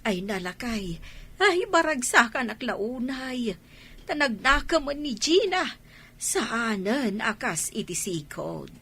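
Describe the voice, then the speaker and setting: High-voiced elderly Ilocano mother. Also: very animated, takes it slowly, sharp tone, slightly rough, exaggerated voice. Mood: relieved. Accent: Filipino, Ilocano. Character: elderly mother and grandmother, forgiving and doting wife. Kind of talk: radio drama acting.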